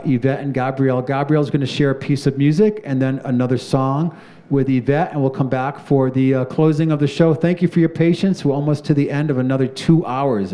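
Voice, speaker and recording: A middle-aged man hosts a live studio talk show, his voice mellow and dark. He talks in a relaxed, rambling way, with plenty of "uh" and "um", and he sounds proud of his guest. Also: mid-range voice. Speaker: show host. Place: community TV studio.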